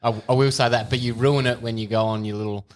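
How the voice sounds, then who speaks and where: A man's voice: medium pitch, steady tone, quick pace. An Australian man co-hosting a podcast, an easygoing storyteller in casual chat. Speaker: podcast co-host.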